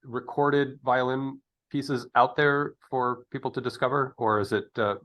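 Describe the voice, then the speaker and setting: A man's voice: medium pitch, steady tone, measured pace, fairly bright tone. A man interviewing a guest in a casual, conversational style, rambling a little as he thinks aloud. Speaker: interviewer, podcast host.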